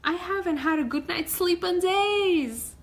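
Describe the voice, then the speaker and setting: A woman's voice: very high voice, a little slow, pitched above the performer's own voice. A woman voicing a crabby female crab schoolchild from a storybook, exclaiming eagerly.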